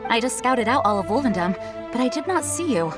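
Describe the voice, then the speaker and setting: Female knight leader, lightly high voice, formal, composed, dutiful, game character, clear music behind. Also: big pitch swings, brisk. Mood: earnest, concerned. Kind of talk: video game voice acting.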